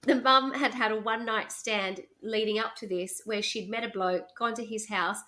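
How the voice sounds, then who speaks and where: Bright, chatty woman telling a story. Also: fairly high pitch, steady tone, normal speed. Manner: chatty, laughs often, reads from notes. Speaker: podcast host telling a true crime story. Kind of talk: casual chat, storytelling.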